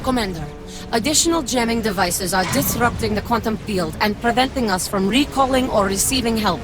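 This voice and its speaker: A woman's voice: a little high, fairly quick, music in the background, lightly acted. A woman playing a disciplined military mech commander in a video game, giving formal, clipped radio reports. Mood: businesslike, focused.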